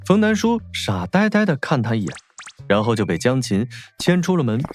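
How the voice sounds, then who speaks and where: A man's voice: medium pitch, very animated, moderate pace. A man narrating a novel as a scripted, dramatic audiobook. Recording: faint music behind.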